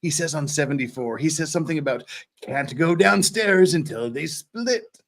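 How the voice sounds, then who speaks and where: Guy reading as a male lawyer arguing to a judge, earnest, swingy pitch, transcript reading. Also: animated, normal speed, gravelly. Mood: quoting evidence. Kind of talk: dramatic reading of a court transcript.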